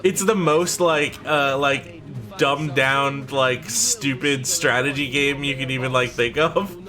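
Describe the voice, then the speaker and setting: A man's voice: medium pitch, steady tone, slow, sharp tone, soft background music. A man in his twenties chatting casually as a streamer, rambling and restarting his sentences while reading out chat. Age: 20s. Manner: rambling, trails off and restarts, laughs easily. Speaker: streamer playing a strategy game.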